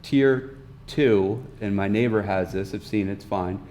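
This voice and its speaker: Low-voiced, plain-spoken man at a meeting. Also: unhurried, darkish tone. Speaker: town board member. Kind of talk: informal explanation at a meeting. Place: indoor town board meeting room. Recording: faint background noise in meeting room.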